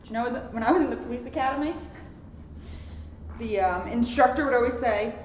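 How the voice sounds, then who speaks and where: A woman's voice: fairly high pitch, fairly quick. A young adult woman reads a children's storybook aloud expressively, with chatty, talkative asides. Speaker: police officer reading a children's book. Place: indoor lounge at a borough hall. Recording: echoey reverberant room, muffled, some noise.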